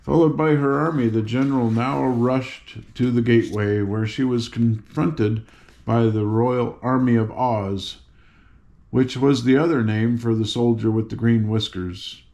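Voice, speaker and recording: A man's voice: medium pitch, steady tone, unhurried, vocal fry, a little mellow. An older man narrating a children's story as a read-aloud, with informal little asides.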